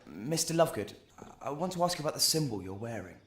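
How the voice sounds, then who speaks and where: A young man playing an earnest, urgent teenage wizard hero in a video game cutscene, his voice husky. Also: mid-range voice, very animated, fast. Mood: polite.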